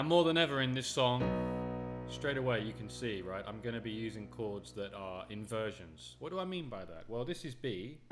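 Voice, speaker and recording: A man teaching a casual piano tutorial, explaining chords and counting beats aloud, with a slight cold coming on. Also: fairly low pitch. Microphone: USB studio microphone. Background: piano playing.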